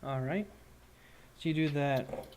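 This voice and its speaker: Slow-paced man narrating as game master. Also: fairly high pitch, darkish tone. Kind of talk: improvised tabletop game narration.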